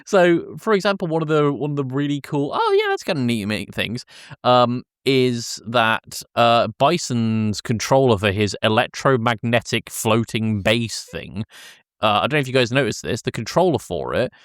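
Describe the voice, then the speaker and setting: A man's voice: medium pitch, expressive, moderate pace, no echo, studio quality. A man in his thirties co-hosting a podcast, giving casual, opinionated talk about film. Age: 30s. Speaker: podcast co-host.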